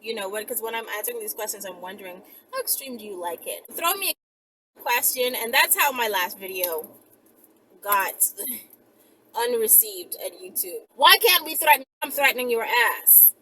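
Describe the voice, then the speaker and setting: Woman doing a casual vlog q&a, bright punchy voice on the high side, blunt and sweary, bit of background noise. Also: animated, normal speed, poor audio. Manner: blunt, emphatic, profane, conversational. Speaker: video host answering viewer questions. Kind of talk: casual rambling chat to viewers.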